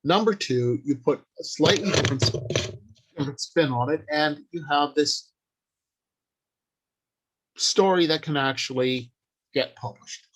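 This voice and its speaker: Slow-paced older man giving a writing workshop. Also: on the high side, fairly bright tone, low-quality recording. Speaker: workshop presenter. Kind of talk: informal instructional talk.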